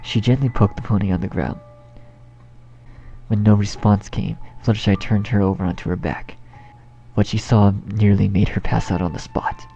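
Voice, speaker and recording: A man's voice: lowish voice, fairly even intonation, normal speed, vocal fry, dark tone, faint music behind. A man narrating a scripted fan fiction story as its storyteller. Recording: dull home recording with faint noise.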